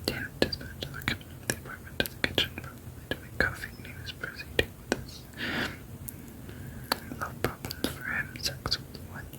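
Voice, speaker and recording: A person reading fiction aloud entirely in a soft, unvoiced whisper, at a steady, low-key pace. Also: fairly quick.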